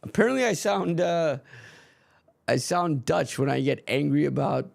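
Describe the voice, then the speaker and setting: A man's voice: on the high side, expressive, moderate pace, vocal fry, no echo. A man streaming a live football match and ranting frankly about his team's manager, swearing freely in casual chat.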